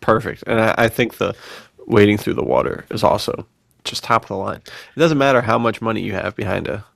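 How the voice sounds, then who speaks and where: A low-pitched American man with plenty of vocal fry co-hosts a casual podcast. He talks in a slangy, bro-ish way, telling stories. The sound is dry and close, with no room on it. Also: brisk, fairly bright tone, dry recording. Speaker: podcast co-host.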